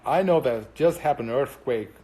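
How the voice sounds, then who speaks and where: A Brazilian man speaks English with a Brazilian Portuguese accent, Portuguese being his first language. He chats loosely through a live stream, answering viewers as thoughts come to him. He rambles and pauses often, filling gaps with plenty of hesitations. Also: mid-range voice, lively intonation, dark tone, low-quality recording. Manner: rambling, hesitant with many fillers. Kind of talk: casual live-stream chat.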